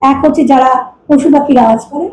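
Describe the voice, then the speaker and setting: High, mellow woman, echoey room. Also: quick pace. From India. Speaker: folk vocal mimicry artist being interviewed. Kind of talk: informal interview, personal storytelling. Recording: echoey room with faint noise.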